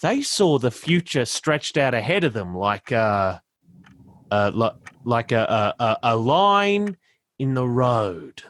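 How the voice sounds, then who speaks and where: Man acting a rambling, laid-back young stoner, animated pitch. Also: slow pace, dry recording, clean studio sound. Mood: earnest rambling. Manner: rambling, hesitant, slangy. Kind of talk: radio play acting.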